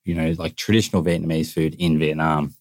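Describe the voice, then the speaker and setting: A man's voice: rumbling low, little pitch movement, brisk, no room sound, studio quality. A man hosting a podcast, asking casual questions with many restarts and repeated words. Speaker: podcast host.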